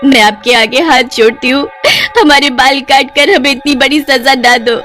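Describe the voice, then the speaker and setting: A woman's voice: high-pitched, fairly even intonation, normal speed, a bit bright, faint music behind, voice pushed up. A woman voicing a poor, anxious village mother in a cartoon story, plaintive and imploring. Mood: pleading.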